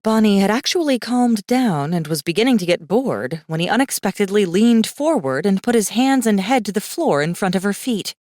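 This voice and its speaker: A woman narrating a fiction audiobook in an expressive, scripted storytelling style. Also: medium pitch, moderate pace, hardly any pauses, no room sound, clean studio sound.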